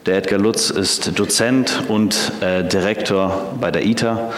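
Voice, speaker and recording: A man's voice: on the low side, fairly even intonation, measured pace. A man in his twenties, raised in Canada with English as his first language, preaching a sermon in German with many hesitations. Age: 20s. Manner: hesitant, frequent filler sounds. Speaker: theology student preaching. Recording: echoey hall.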